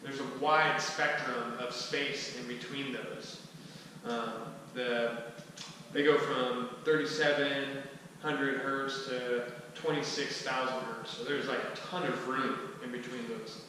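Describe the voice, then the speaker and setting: A man in his thirties giving an informal, conversational explanatory talk to a small group, with somewhat halting delivery. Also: medium pitch, fairly even intonation, pushed and bright. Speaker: presenter at a skillshare. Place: large indoor room with wooden floor and mirror. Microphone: distant camera microphone. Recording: echoey hall, far from mic, faint noise.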